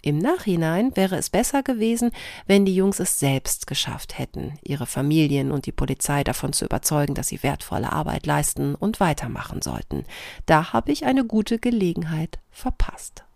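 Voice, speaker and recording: A woman's voice: deep voice, expressive, moderate pace, creaky, a little mellow, no echo, studio quality. A woman hosting a podcast, reading aloud and talking things through. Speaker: podcast host. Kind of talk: podcast talk, reading out written answers and chatting.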